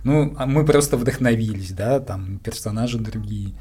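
A man's voice: lowish voice, normal speed, dark tone, slightly rough. A man interviewing someone in a casual, conversational way, feeling out his questions informally as he speaks.

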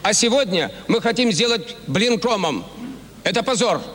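Echoing hall, high-voiced male political speaker. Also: animated, normal speed, fairly bright tone. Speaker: deputy speaking at a congress. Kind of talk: political speech. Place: large assembly hall. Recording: echoing congress hall, archive audio.

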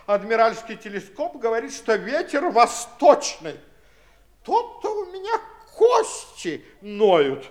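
A fretful middle-aged father in a vintage radio play. His voice is high for a man and extremely animated, leaping about as he grumbles with theatrical self-importance. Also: full character voice. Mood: fretful, bustling. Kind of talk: 1960s radio play acting.

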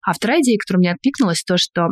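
A woman's voice: mid-range voice, expressive, racing, no echo, clean studio sound. A woman co-hosting a podcast, chatting casually and explaining things in a talkative way. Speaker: podcast co-host and parent. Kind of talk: casual conversational podcast talk.

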